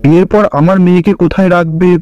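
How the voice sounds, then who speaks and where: Man voicing a high-pitched, blunt bride's father. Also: fairly even intonation, fast, mellow, highs cut off, a little hiss or hum, close to an everyday voice. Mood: doubtful. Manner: blunt, questioning. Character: blunt, sceptical middle-aged father of a bride. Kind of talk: comic cartoon dialogue.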